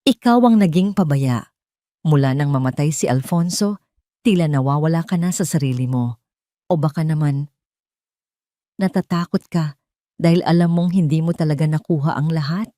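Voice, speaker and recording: A woman's voice: low voice, normal speed, pauses often, dark tone, no echo, close to an everyday voice. A woman storyteller plays a menacing male schemer in an audio drama. He throws blame with open threat in his manner. The recording is dry, with little room around the voice. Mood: threatening. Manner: threatening, accusing. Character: menacing treacherous man, scheming lover, blaming.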